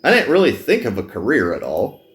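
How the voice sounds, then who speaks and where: A man's voice: mid-range voice, huge pitch swings, a little fast. A man reading a reluctant, self-deprecating young male magician recruit from a visual novel, dry and downbeat. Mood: gloomy, wry.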